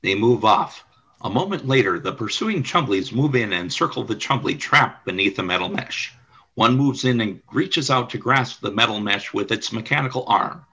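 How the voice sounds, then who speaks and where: A man's voice: on the low side, expressive, moderate pace, pushed and bright, husky, dull audio. A man reading scripted narration for a radio play, describing scenes and action.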